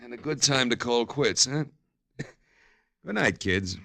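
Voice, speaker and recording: An actor playing a wry, world-weary private detective in a 1940s radio noir drama, with clipped, slangy delivery. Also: male voice, mid-range voice, animated, slow pace, vocal fry. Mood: wry, tired. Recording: old-time radio drama recording.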